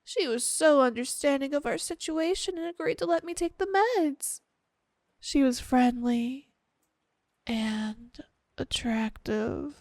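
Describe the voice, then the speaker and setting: A woman playing a sweet, possessive, obsessed wife with quiet menace, cooing and coaxing in a roleplay ASMR style. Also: high voice, dry recording, clean studio sound. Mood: sweet, veiled jealousy. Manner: cooing, saccharine, coaxing, drawn-out delivery.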